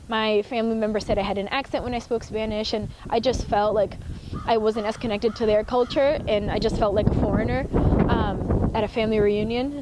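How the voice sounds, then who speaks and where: A teenage girl from the United States giving a documentary interview, measured and pausing with frequent ums. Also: on the high side, background noise. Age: teens. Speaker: student interviewee.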